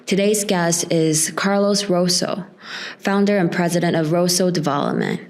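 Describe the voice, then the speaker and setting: A young woman hosts an interview podcast with a low, settled voice. She reads a prepared introduction first, then moves on to long questions for her guest. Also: big pitch swings, normal speed, a little mellow, faint music behind. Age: young adult. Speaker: podcast host, student interviewer.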